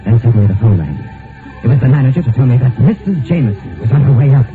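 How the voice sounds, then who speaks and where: A man's voice: medium pitch, sing-song, fast, natural voice. Man acting an anxious fugitive, tinny old radio drama. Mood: tense, brooding. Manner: nervous, stammering in dialogue. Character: anxious male fugitive posing as a dead man. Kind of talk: old-time radio drama, first-person mystery narration and dialogue. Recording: tinny vintage radio recording, music behind.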